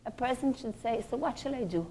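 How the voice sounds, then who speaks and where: A woman with a fairly high voice gives a religious lecture. She speaks deliberately and emphatically, breaking her thoughts into short phrases with pauses. She often poses rhetorical questions as a teacher would. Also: lively intonation, warm tone, a little hiss or hum, poor audio. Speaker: religious teacher giving a class for women.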